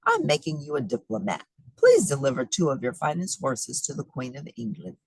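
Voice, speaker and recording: A woman voicing a glad-handing male president who speaks in a booming, formal way. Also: wildly swooping pitch, normal speed, creaky, slightly rough, dry recording, exaggerated voice. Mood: grand.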